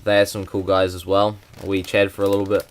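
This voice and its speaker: A man's voice: sits low, monotone, a little fast. A young man, talking hesitantly with many fillers in a casual vlog. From New Zealand. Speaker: vlogger.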